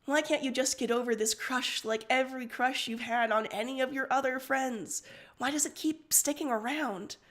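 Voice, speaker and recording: A woman's voice: a little high, fairly bright tone. A woman reading a story aloud on a casual livestream, as one of two narrators.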